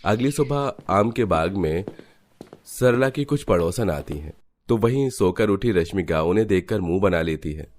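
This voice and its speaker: A man's voice: low voice, lively intonation, moderate pace, darkish tone. A man narrating a scripted cartoon family story.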